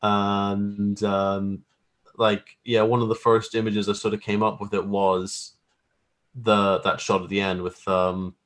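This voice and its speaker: A man with a fairly low voice talks casually, as if being interviewed about his creative work. He rambles a little, feeling his way through each thought, and comes across as modest and self-deprecating. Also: level tone, takes it slowly. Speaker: guest, graphic artist who makes trailers.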